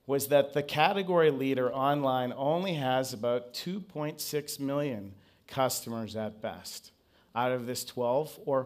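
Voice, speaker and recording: Man giving an engaging business talk. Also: mid-range voice. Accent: non-Brazilian English accent. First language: English. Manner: engaging, asks the audience to raise hands. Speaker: conference speaker. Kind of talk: business presentation to an audience. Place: conference stage.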